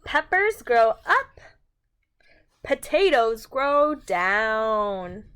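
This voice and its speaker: A woman's voice: a little high, sing-song, very slow, a bit bright, clean tone. A woman reading a picture book aloud to young children in a sing-song manner, speaking to them directly as a teacher would.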